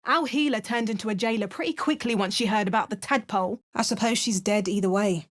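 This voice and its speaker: A woman's voice: mid-range voice, quick pace, lightly acted. A woman voicing a self-assured young adventurer who delivers game voice lines with dry, wry asides. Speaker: player character adventurer.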